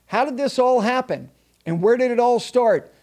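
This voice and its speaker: High-voiced emphatic male host. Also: a little mellow. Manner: emphatic, halting restarts when asking questions. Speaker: podcast host. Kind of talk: interview hosting.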